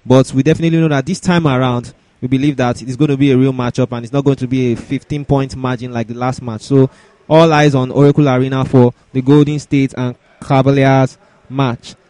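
A man's voice: medium pitch, steady tone, fairly quick, mellow, dry recording. Male sports host, dry close sound. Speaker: sports talk show host. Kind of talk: sports discussion, introducing segments.

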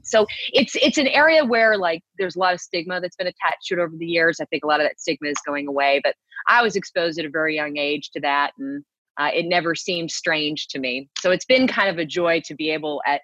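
A woman's voice: low-pitched, a bit bright, dry recording, highs cut off. A woman from Texas, a TV news co-anchor, chatting easily as an interview guest and laughing readily. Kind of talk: casual interview conversation.

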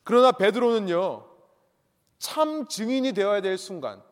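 A male pastor with a notably high voice delivers a sermon, leaning hard on key points and repeating them. He poses rhetorical questions to the congregation. His voice rings out with the long reverb of a church hall. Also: lively intonation, moderate pace.

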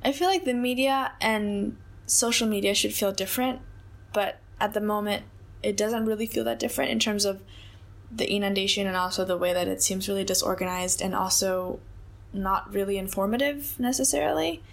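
A woman's voice: medium pitch, moderate pace, vocal fry. Thoughtful young woman, full of hedges. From Hong Kong. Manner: thoughtful, many hedges and fillers like "like" and "I think". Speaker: interview guest. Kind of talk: reflective conversational interview.

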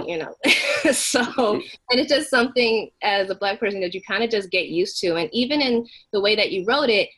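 A woman's voice: fairly high pitch, fairly bright tone. A middle-aged American woman giving casual, conversational feedback as an audience member. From United States. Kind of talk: casual spoken feedback at an online play reading.

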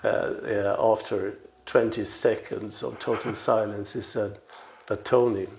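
A man's voice: on the low side, little pitch movement, a little slow, gravelly, darkish tone. A man in his sixties from Sweden speaking as an interview guest, telling anecdotes conversationally with hesitant, repeated words. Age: 60s. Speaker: interview guest, veteran publisher and editor.

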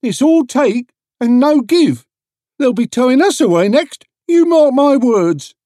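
A man's voice: extremely high pitch, expressive, a little slow, warm tone, no echo, studio quality, pitched above the performer's own voice. A man voicing a grumbling older market trader who complains indignantly.

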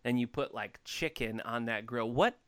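A man's voice: medium pitch, moderate pace, a bit bright, clean tone. Joking man hosting a casual podcast. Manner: joking, laughs often, restarts phrases. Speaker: podcast host.

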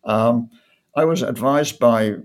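An older man from North Wales, answering interview questions in a measured way with frequent ums and restarts. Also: mid-range voice. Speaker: guest economist. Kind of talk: conversational interview.

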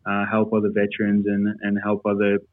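A man's voice: lowish voice, monotone, fairly quick, no room sound. An Australian man with an Australian accent speaking earnestly and explaining things as an interview guest over a remote call. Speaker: interview guest, military veteran. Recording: dull lo-fi call audio.

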